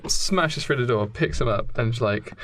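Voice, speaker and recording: A man's voice: medium pitch, normal speed, fairly bright tone, a little hiss or hum. A man chatting casually and jokily as a comedy podcast host, sketching out a story off the cuff.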